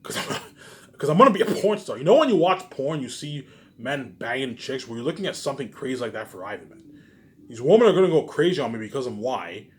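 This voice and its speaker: A man's voice: mid-range voice, very animated, fast. A man giving a rambling, informal monologue, repeating his phrases and swearing often, with words tagged onto the ends of sentences. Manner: repetitive, circles back to the same phrases; frequent swearing. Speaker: solo video speaker.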